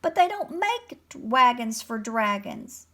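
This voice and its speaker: Storybook dragon, played by a woman: shy, scared, whimpering, drawn-out quavering delivery. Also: on the high side, animated, sharp tone, heavily acted. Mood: wailing, sobbing. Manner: quivering, whimpering, wailing. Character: timid, tearful, fearful male dragon.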